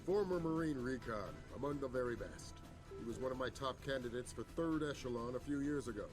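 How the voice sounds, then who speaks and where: An actor playing a measured intelligence chief who briefs the president in a game cutscene. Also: male voice, mid-range voice, natural voice. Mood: grave. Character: calm measured male intelligence chief, middle-aged. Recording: game soundtrack with clear music.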